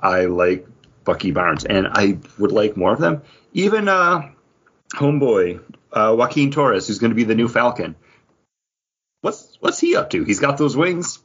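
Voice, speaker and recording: Male podcast host, low and rough-edged, casual and halting, rambling delivery. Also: animated, a bit bright, dull audio. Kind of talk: casual chat.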